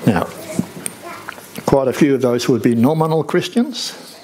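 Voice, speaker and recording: An older man, preaching a sermon from a church stage in a conversational, unhurried way with rhetorical questions. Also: medium pitch, expressive, a little mellow, slight background noise, low-quality recording.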